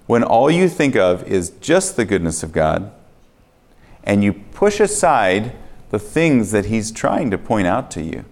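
Low-voiced man preaching a sermon. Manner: earnest, swings between quiet and emphatic. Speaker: pastor. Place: church sanctuary.

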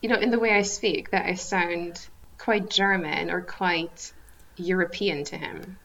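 A woman in her thirties, a podcast guest from Kazakhstan, talking thoughtfully with frequent pauses and restarts. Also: on the low side, fairly even intonation, creaky, fairly bright tone, lo-fi sound. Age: 30s. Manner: thoughtful, hesitant with many ums and restarts. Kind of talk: casual interview chat.

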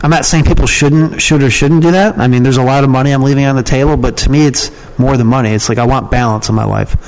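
A man's voice: mid-range voice, fairly even intonation, fast talker, rough recording. A man giving casual, rambling and frank answers as an opinionated guest in an interview. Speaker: podcast guest, email marketer and copywriting trainer.

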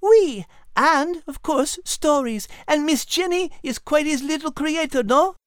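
Bossy little french cat, high voice, chirpy and brisk, kids audio story. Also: female voice, animated, dry recording, clean studio sound. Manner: brisk, commanding, peppers talk with French words. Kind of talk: scripted character acting for children's podcast.

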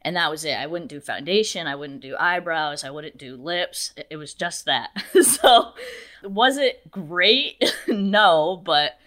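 A woman in her twenties with a deep voice, a makeup artist chatting as an interview guest, talkative and quick to laugh. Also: expressive, a bit bright. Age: 20s. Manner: chatty, laughs often, storytelling. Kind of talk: casual interview chat.

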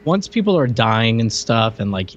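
A man's voice: mid-range voice, very animated, normal speed, slight background noise. Man with dull lo-fi sound, casually chatting. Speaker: podcast co-host reviewing a film. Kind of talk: casual film-review chat.

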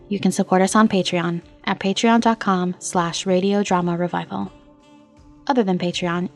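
A woman podcast host reading a scripted outro. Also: medium pitch. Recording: music playing behind the voice. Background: music.

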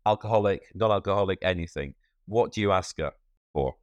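A man's voice: low voice, fairly quick, dry recording, lo-fi sound. A man hosting a podcast interview, playfully setting up imagined scenarios for his guest. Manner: playful, sets up imaginative questions.

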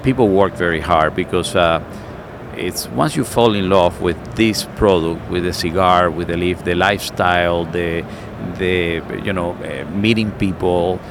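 A middle-aged man from Cuba speaks with a Cuban accent in a lowish voice, pausing often and reaching for words with "uh" and "you know." The talk is recorded outdoors, with clear street and traffic noise behind him. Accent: Cuban Spanish. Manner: searching for words with many fillers. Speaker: cigar maker. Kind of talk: casual chat.

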